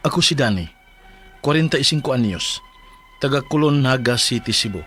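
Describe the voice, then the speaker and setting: Actor as troubled Cebuano husband over music. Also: male voice, mid-range voice, animated, brisk, a bit bright, lightly acted. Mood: earnest, troubled. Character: ailing 45-year-old Cebuano husband telling his troubles. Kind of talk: Philippine radio drama acting and letter narration. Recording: music bed under voice.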